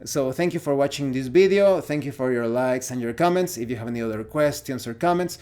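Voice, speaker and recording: A man's voice: medium pitch, a little fast, darkish tone. A man, a Spanish speaker, giving an unscripted opinion monologue as host of a gaming channel, often searching for words. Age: 40s.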